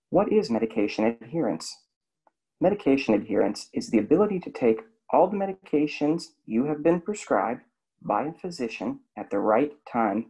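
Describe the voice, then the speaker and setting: A man narrates a clinic's health lesson in a scripted, explanatory way, often turning to the listener with questions. His voice is gravelly and a little husky. His pitch moves up and down a lot. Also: warm tone, poor audio.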